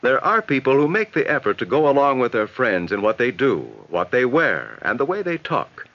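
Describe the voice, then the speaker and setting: An American man reading scripted, instructive narration in a measured, advising way for a 1960s educational film. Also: medium pitch, very animated, a little hiss or hum. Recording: dull vintage 1960s film soundtrack.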